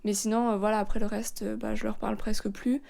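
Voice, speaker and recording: A young woman from France hosting a podcast, talking in a relaxed, hesitant way with many pauses. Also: medium pitch, creaky. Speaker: podcast host. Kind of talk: casual interview chat.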